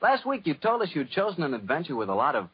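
American man, vintage radio host, folksy scripted delivery, husky tone, muffled 1940s broadcast sound. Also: on the high side, wildly swooping pitch, fast talker, no room sound.

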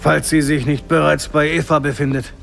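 An actor playing a wounded, determined father with a gravelly voice. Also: on the high side, flat delivery, normal speed, fairly bright tone, soft background music. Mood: resolute. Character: wounded, resolute older father.

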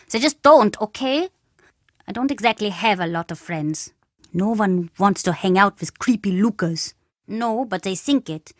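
A woman voicing a cheeky, monster-obsessed young boy in a video game, with an animated, childlike delivery. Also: mid-range voice, dry recording, studio quality, close to an everyday voice. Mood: defensive, uneasy. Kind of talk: video game character dialogue.

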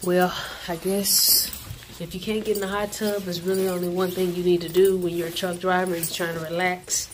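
A young woman truck driver chatting casually to her vlog camera, animated and talkative. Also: lowish voice, normal speed. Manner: chatty, animated, addresses viewers as y'all. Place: hotel and truck cab. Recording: background noise.

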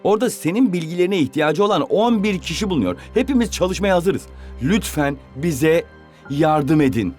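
Man voicing an eager, lively young footballer. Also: a little high, normal speed, soft background music, close to an everyday voice. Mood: earnest, pleading. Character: earnest, eager young male football player. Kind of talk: animated cartoon dub.

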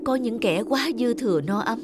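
A woman's voice: on the high side, expressive, moderate pace, heavy background noise, close to an everyday voice. A woman voicing a dutiful young apprentice Grim Reaper in a cartoon, earnest and brooding. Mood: brooding, pitying. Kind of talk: animated fairy-tale dub.

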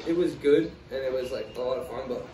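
A young man chatting easily about his past, loose and unhurried with lots of fillers. He sits back from the camera in a small bare room, so the sound is echoey and distant with steady hiss underneath. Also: medium pitch. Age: young adult. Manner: relaxed, many fillers like "like" and "um". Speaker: guest, tennis player. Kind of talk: casual interview answers. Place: small room, in doors, seated in armchair. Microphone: far camera. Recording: roomy, distant, noisy.

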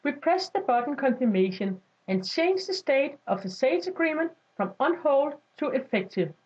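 Female tutorial narrator, notably high voice, scripted instructional read, slightly muffled lo-fi sound. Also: big pitch swings, normal speed, a little mellow. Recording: dull lo-fi voice-over.

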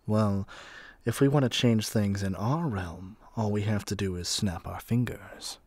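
A man's voice: lowish voice, measured pace, a little mellow, husky, dry recording. A man playing a haughty, impatient god in an audio roleplay, with an imperious, theatrical way of talking. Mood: casual, proud. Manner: imperious, theatrical, addresses listener as mortal. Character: haughty, impatient male god of magic rooming with a human.

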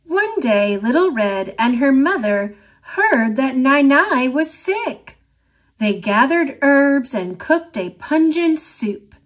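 Slow, expressive woman reading a storybook. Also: sits high. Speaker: storybook narrator. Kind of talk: expressive read-aloud for children.

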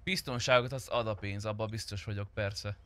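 A man's voice: on the low side, steady tone, a bit bright. A man chatting casually in an improvised roleplay game, in a musing, philosophical way. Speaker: online roleplay game player. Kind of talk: improvised roleplay chat while gaming.

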